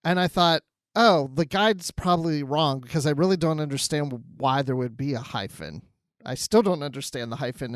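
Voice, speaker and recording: Guy podcast cohost, bit high voice, casual talk, dry sound. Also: dry recording. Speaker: podcast co-host. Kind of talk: casual chat.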